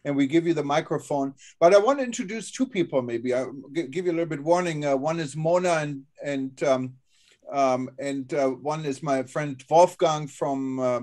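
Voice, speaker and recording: A man's voice: on the high side, normal speed, darkish tone. A man hosting an online meeting, introducing guests hesitantly with many fillers. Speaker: host. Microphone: video call.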